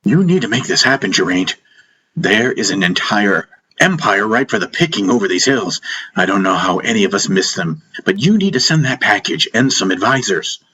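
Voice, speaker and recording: A man's voice: on the low side, very animated, bright, forceful tone, breathy edge, exaggerated voice, rough recording. A man voicing an insistent, commanding stranded army major bargaining urgently in an audiobook. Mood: insistent, persuasive. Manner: insistent, emphatic, halting when pleading. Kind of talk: dramatic audiobook dialogue. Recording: music behind the voice.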